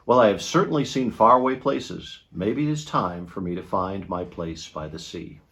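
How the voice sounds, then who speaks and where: A man's voice: deep voice, normal speed, a bit bright. A man voicing a thoughtful, adventurous grown woman in a storybook, in a reflective, musing way.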